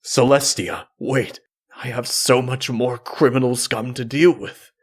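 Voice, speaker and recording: A man's voice: medium pitch, flat delivery, measured pace, close to an everyday voice. A man voicing a stern, zealous armored legionnaire guard with declamatory pronouncements in a comic fantasy story. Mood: pleading.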